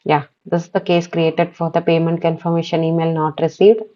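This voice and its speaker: A woman walking through a software demo as a developer, on slightly dull audio. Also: low voice, little pitch movement, brisk.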